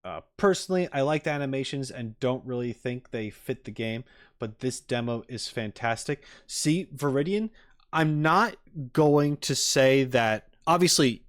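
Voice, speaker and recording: Male streamer, medium-pitched voice, casual and opinionated live reaction commentary with emphatic delivery. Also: expressive, measured pace. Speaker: streamer reacting to game trailers.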